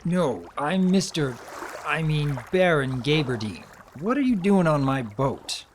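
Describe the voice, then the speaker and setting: A grouchy young pony nobleman, played by a man, grumbling in a raised, swooping voice. He is fussy and slow to get his words out, like a cartoon storybook character, with noisy watery ambience behind him. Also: a little high, very animated, pitched above the performer's own voice. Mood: grumpy, flustered. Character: grouchy, earnest young pony baron, male. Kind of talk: dramatic reading of a cartoon fan story.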